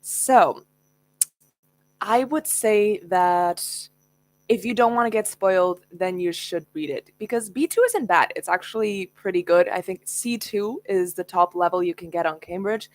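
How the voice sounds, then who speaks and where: A woman's voice: medium pitch, lively intonation, a little slow. A young woman whose first language is Spanish, chatting casually and laughing often as she answers viewer questions. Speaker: book youtuber. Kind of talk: casual Q&A livestream chat.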